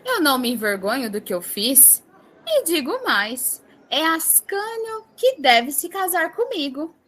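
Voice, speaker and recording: A woman playing a sassy, lovestruck young princess in a comedic audio drama, defiant and whining with drawn-out theatrical sarcasm. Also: high voice, big pitch swings, fairly bright tone, full character voice. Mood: lovestruck defiance.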